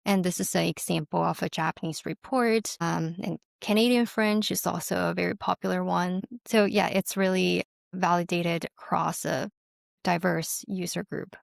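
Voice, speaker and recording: Female interview guest, mid-range creaky voice, conversational and affirming product explainer, dry room. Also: on the low side, steady tone, moderate pace, no room sound. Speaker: company representative, interview guest.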